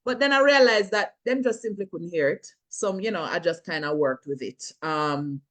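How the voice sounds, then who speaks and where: A middle-aged Jamaican woman with a low, deep speaking voice talks through her career in a relaxed interview. She is animated and laughs readily. Her talk drifts into patois phrasing now and then. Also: moderate pace. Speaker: filmmaker and actress, guest. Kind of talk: casual interview chat.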